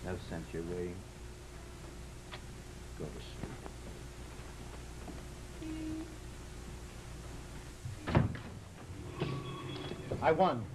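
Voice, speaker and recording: An actor playing a troubled, guilt-ridden gambling husband in an old radio drama, earnest and halting in a melodramatic style. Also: male voice, sits low, very animated, heavily acted. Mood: evasive, subdued, then agitated. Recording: slightly noisy old radio recording.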